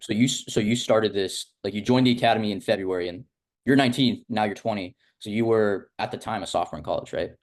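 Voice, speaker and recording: Fast-talking young man, casual interview host. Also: fairly low pitch. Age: young adult. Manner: rambling, peppered with filler "like". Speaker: host interviewing a student of a business academy.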